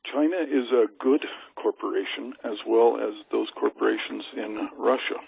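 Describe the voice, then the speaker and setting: A man's voice: mid-range voice, moderate pace, creaky, breathy edge, dry recording. A man giving an informal, emphatic and assured briefing to a group over a conference call, often posing rhetorical questions. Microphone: telephone conference call. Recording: lo-fi conference phone line.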